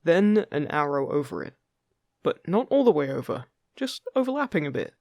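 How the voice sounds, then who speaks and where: A man playing a friendly, upbeat fairy guide in an intimate fantasy roleplay, chatty, gentle and encouraging with the listener. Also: fairly high pitch, very animated, moderate pace, no room sound. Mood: playful, instructive. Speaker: voice actor in an audio roleplay.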